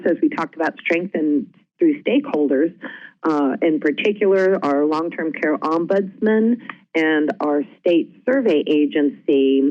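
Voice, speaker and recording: A woman's voice: low-pitched, a little mellow. Female webinar presenter, conversational delivery, somewhat muffled lo-fi connection. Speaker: webinar presenter, healthcare quality specialist. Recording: slightly dull, lo-fi audio.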